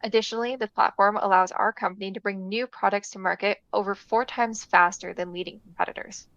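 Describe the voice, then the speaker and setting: A woman with a smooth, clear voice delivers a steady, rehearsed startup pitch. The sound is dry and a little dull, like a remote recording. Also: a little fast, no echo. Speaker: startup CEO presenting. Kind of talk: scripted pitch read-out.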